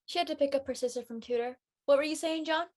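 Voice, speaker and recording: A woman's voice: sits high, quick pace, natural voice. Chatty, curious teenage girl acted by a woman. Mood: casual, inquisitive. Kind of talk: casual live script reading.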